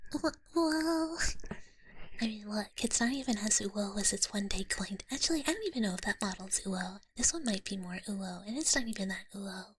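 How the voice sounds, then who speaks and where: A young woman whispering softly to her stream chat in an ASMR style, giggling often. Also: mid-range voice, expressive, a little fast, a little hiss or hum. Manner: soft whisper with frequent giggles.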